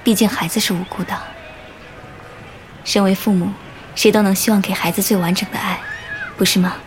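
Soft-voiced gentle woman voiced by an actress, music behind. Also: mid-range voice, steady tone, normal speed, close to an everyday voice. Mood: warm, relieved. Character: gentle, earnest grown woman, protective and teasing partner. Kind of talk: modern Chinese radio drama acting.

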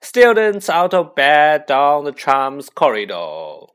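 A man voicing a mischievous poltergeist in a storybook, taunting in a drawn-out, gleeful sing-song. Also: mid-range voice, very animated, a bit bright, full character voice. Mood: bellowing.

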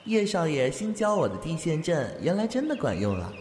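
A man's voice: on the high side, wildly swooping pitch, a little fast, a little mellow, a little hiss or hum. An actor playing a cheerful, easygoing young man from a clan who chats playfully in a fan audio drama. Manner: chatty, playful.

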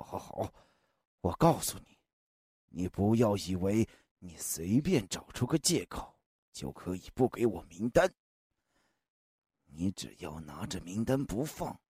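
A man playing an aggressive, accusing spy official in a radio drama, raspy and forceful. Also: low-pitched, no echo, studio quality, exaggerated voice. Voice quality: very raspy, husky. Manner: forceful, threatening. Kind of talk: Chinese radio drama acting.

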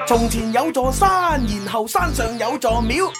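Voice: mid-range voice, lively intonation, normal speed, close to an everyday voice. A performer playing a playful, exaggerated storyteller in a produced radio segment jingle. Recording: loud children's music behind the voice.

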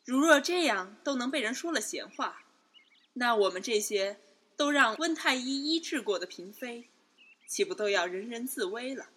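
A woman's voice: a little high, animated, moderate pace, fairly bright tone. A woman playing a composed, principled imperial concubine in a Chinese period audio drama, firm and articulate. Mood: firm defence.

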